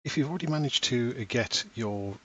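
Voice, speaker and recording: A man in his thirties, a parent from Wales, walking through a school software platform step by step in a patient, explaining voice. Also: mid-range voice, lively intonation, a little fast, husky. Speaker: parent giving a tutorial. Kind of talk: screen-recorded software tutorial.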